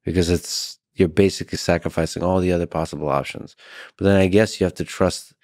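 A man in his thirties hosting a podcast, speaking slowly and calmly into a boom mic. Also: no echo, clean studio sound. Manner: slow, calm, low-key. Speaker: podcast host. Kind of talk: podcast conversation. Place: room with curtains and lamp. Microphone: studio mic on boom arm.

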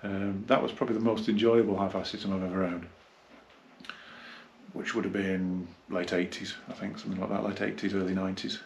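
Low, creaky man chatting casually. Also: little pitch movement, a little mellow, a little hiss or hum. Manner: rambling, halting, reminiscing. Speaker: hi-fi dealer and reviewer. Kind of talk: casual unscripted product review. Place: small carpeted indoor room, seated.

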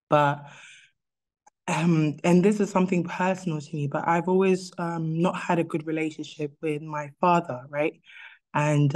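A woman's voice: low voice, a little mellow. A woman speaking casually and personally about faith in an online group, sometimes searching for her words. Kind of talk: personal Bible reflection, casual.

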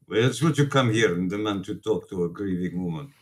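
A man's voice: fairly low pitch, brisk, natural voice. Man acting as earnest, defiant immigrant priest. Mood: impassioned pleading. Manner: earnest, pleading, formal phrasing. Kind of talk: radio play acting.

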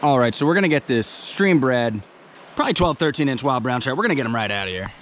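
A young man vlogging as he fishes outdoors by a creek, chatting in a relaxed, eager way into an action camera he wears. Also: medium pitch, quick pace, slight background noise. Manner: relaxed, chatty, enthusiastic about fish. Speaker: fishing vlogger. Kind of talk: casual outdoor commentary. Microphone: action camera on the body.

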